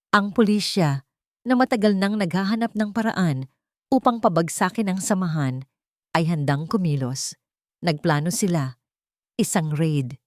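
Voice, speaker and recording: A woman's voice: fairly low pitch, dry recording, clean studio sound. A woman reading a dramatic romance story aloud as narrator. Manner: dramatic storytelling, reads all parts herself.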